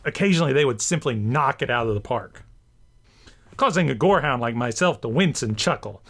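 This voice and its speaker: A man's voice: mid-range voice, lively intonation, fairly quick, a bit bright. A man hosting a scripted video essay, narrating with enthusiasm and an emphatic, theatrical delivery. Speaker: host and writer.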